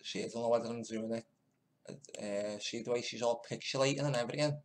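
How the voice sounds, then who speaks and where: A man with a middle-of-the-road pitch hosts a live stream. He mocks, laughs and swears through loose commentary, drifting between loud jeers and quiet muttering. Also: steady tone, quick pace. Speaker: channel host.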